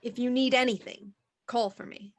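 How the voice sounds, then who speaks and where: A woman's voice: a little high, fairly even intonation, normal speed, natural voice. A woman playing a shrewd, unflappable police inspector in a radio murder mystery, with a dry, self-assured manner. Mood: confident, deducing. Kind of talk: audio drama acting, Victorian murder mystery radio program.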